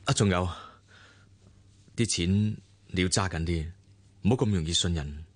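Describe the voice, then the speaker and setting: Radio drama: a caring, protective man with a deep, husky voice and a Hong Kong Cantonese accent. He is earnest and a little hesitant. The sound is close and dry. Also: measured pace, no room sound. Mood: concerned.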